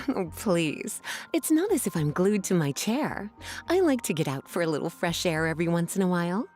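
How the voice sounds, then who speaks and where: A woman's voice: on the low side, big pitch swings, normal speed, darkish tone, soft background music. An actress playing a languid, teasing, nurturing mentor woman in a video game, with a coy drawl. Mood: playful, teasing. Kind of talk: video game cutscene acting.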